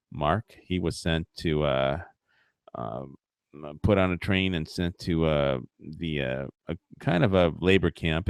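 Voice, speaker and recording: A man with a low voice hosts a casual livestream, talking in loose, rambling commentary. He swears and mocks freely, filling gaps with uh and you know. The sound is dry and close to the mic. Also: gravelly, no echo. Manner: profane, mocking, rambling with frequent fillers. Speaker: livestream host.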